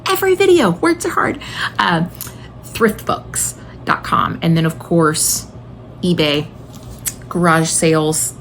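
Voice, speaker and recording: A woman's voice: fairly low pitch, animated, slow, gravelly, long pauses, fairly bright tone, slight background noise. A woman in her late thirties chatting casually and enthusiastically to viewers as she recommends books, with emphatic, informal delivery. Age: late 30s. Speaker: homeschooling mother and video host.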